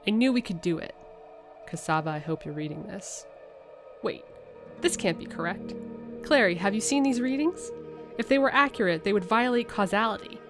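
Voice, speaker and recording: A woman's voice: mid-range voice, lively intonation, moderate pace, long pauses, music in the background, lightly acted. A woman voicing curious alien scientists from a game, reading their written messages aloud in an earnest, conversational way.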